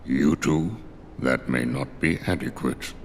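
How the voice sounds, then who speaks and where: An actor voicing a stern, wise old sorcerer in a game, speaking in a grave, formal, measured manner. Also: male voice, rumbling low, over music, exaggerated voice. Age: older. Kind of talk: animated game cutscene acting.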